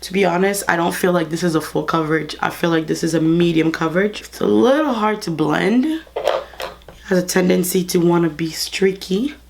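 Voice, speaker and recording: A woman's voice: lowish voice, brisk, gravelly. Congested young woman, chatty beauty vlogger. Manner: chatty, talks straight to viewers. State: congested, under the weather. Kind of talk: casual makeup review and demo. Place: bedroom studio with clothing rack.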